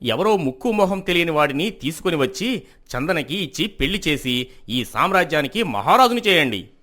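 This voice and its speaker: A queen's hot-headed younger brother acted by a man in a moral-story drama. His voice is fairly high and swings widely as he complains with bitter, theatrical grievance. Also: big pitch swings, normal speed, bright, forceful tone. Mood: bitter, indignant.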